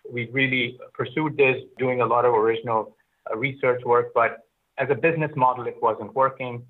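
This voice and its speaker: A middle-aged man from Pakistan who grew up in Oman, telling his story as an interview guest in a reflective, halting way. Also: medium pitch, narrow, tinny audio. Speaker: interview guest, business founder. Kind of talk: conversational personal storytelling.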